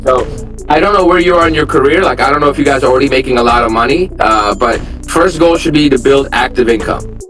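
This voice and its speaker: A man's voice: mid-range voice, fast, a bit bright, soft background music, low-quality recording. A young man from Armenia, a real estate investor, telling his story in a candid, plainspoken interview. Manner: candid, plainspoken, lots of fillers.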